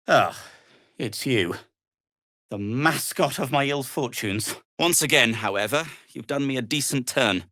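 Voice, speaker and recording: A man's voice: medium pitch, sharp tone, no echo, studio quality. A male actor playing a world-weary, prim gnome in a video game, wry and exasperated, with formal wording. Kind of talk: video game character dialogue.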